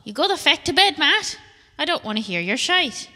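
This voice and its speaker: A woman's voice: high voice, big pitch swings, normal speed, bright, forceful tone, heavily acted. A woman playing a bossy, foul-mouthed Irish character who scolds bluntly in a comedy audio drama. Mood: bossy, scolding. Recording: long reverb.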